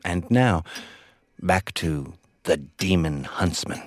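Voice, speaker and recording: A man's voice: sits low, lively intonation, slow. A man delivering a portentous, theatrical horror promo as a trailer announcer, in dramatic scripted narration.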